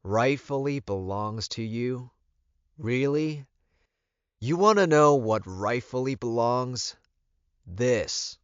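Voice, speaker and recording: A man's voice: medium pitch, expressive, takes it slowly, pauses often, smooth, no room sound, pitched above the performer's own voice. A man voicing a commanding, short-tempered male werewolf alpha in an audiobook, emphatic and authoritative. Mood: angry, scornful. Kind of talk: audiobook character voice. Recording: muffled, dull recording.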